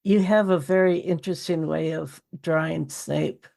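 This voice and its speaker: A woman's voice: deep voice, fairly even intonation, normal speed, creaky, a little mellow. A woman hosting a podcast, interviewing a guest in a casual, chatty style.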